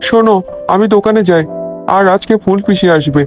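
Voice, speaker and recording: A man's voice: sits high, brisk, faint music behind, lightly acted. A man playing a blunt, short-tempered young shopkeeper husband in a cartoon. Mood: exasperated. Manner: scolding, blunt. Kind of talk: cartoon dubbing.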